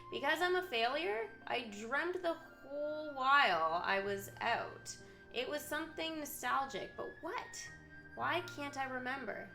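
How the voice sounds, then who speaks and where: Woman, game streamer, bright crisp-edged voice, live commentary and read-aloud story text, echoey room, faint music. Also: sits high. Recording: roomy echo, game music behind. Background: video game music.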